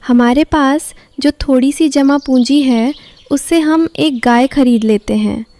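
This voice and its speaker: Gentle cartoon bird wife, encouraging, high register, unhurried pace female performer. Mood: comforting. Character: kind, hopeful mother bird, devoted wife. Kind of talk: Hindi cartoon story dialogue.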